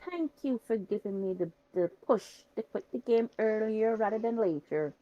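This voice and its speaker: Lively woman from Guyana, muffled line. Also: mid-range voice, moderate pace. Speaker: guest on a gaming show. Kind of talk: casual chat.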